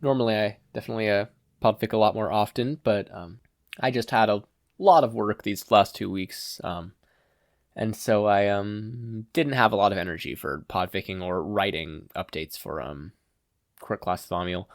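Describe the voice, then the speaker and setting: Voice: deep voice, normal speed, dry recording. Podfic reader with a dry, close sound. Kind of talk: scripted narration of fan fiction.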